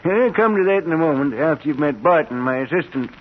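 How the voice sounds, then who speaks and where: Man playing a high-voiced, frail old professor. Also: expressive, quick pace, close to an everyday voice. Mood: eager, proud. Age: older. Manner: fussy, affectionate, eager. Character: frail, kindly, excitable old male professor. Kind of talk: 1940s radio drama acting. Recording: muffled, noisy 1940s radio recording.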